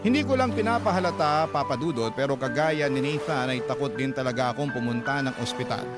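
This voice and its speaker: A man's voice: mid-range voice, expressive, brisk. A man with a Filipino accent narrating a dramatic first-person story as a radio drama narrator. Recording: loud music bed under voice. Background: loud music.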